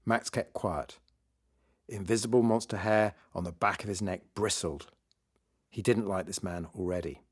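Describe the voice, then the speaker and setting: A man narrating a children's story in a lively, scripted read-aloud style. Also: on the low side, moderate pace. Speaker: author reading his children's book.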